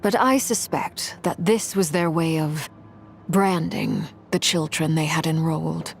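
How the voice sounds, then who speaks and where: An actress playing a brooding young woman with a dark power, telling her history in a measured, grave, dramatic video game style. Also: low-pitched, animated, vocal fry, slight background noise, heavily acted. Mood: measured, serious. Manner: measured, grave, expository storytelling. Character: brooding young woman, harbinger wielding a corrupting blood flame.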